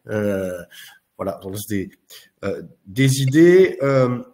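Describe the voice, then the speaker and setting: A man moderating a web conference, relaying remarks in a measured, halting way. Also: on the low side, big pitch swings, a little mellow.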